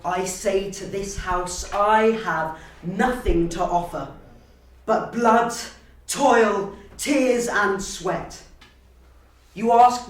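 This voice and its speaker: A man speaking as an auditioning actor, recorded in a room. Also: high voice. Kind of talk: audition speeches, pitch and casual interview. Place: echoey university room. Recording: echoey room, distant microphone.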